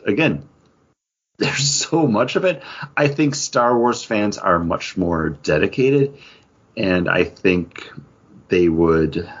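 A man with a low, somewhat husky voice co-hosts a casual geek news show. He talks in a conversational, enthusiastic way. The recording is a little dull and lo-fi. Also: a bit bright. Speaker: podcast co-host.

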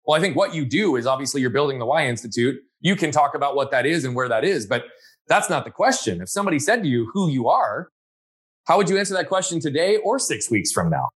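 A man's voice: on the high side, sing-song, fast talker, husky. A man in a conversational interview, speaking in an earnest, reflective way and often checking in with "right?". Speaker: guest.

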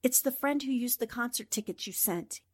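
A woman's voice: a little high, fairly quick, close to an everyday voice. An actress playing a defiant, wry female newspaper reporter under threat in a 1940s-style radio drama. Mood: guarded.

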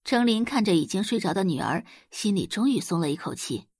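Brief: female narrator for serial fiction, lively intonation, medium pitch, close dry sound. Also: fairly quick, husky, no room sound. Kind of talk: scripted audiobook narration.

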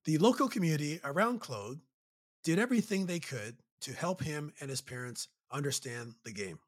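A Canadian man hosting and narrating a documentary in a measured, earnest way. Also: fairly high pitch, animated. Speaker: host and narrator. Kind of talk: scripted narration.

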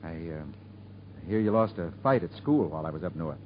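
An actor playing a weary, plain-spoken father with a troubled past, in a halting, understated 1950s radio drama style. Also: deep voice, expressive. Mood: fatherly, restrained. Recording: muffled, lightly hissy 1950s radio recording.

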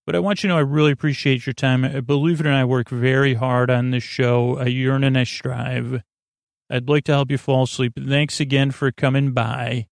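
A man hosting a sleep podcast, rambling in a drowsy, meandering way and gently reassuring his listeners. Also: mid-range voice, level tone, brisk, creaky, no room sound. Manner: meandering, drowsy, self-interrupting.

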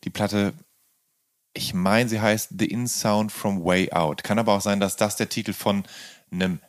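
A man interviewing in a casual, informed way, halting and correcting himself as he explains. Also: on the low side, steady tone.

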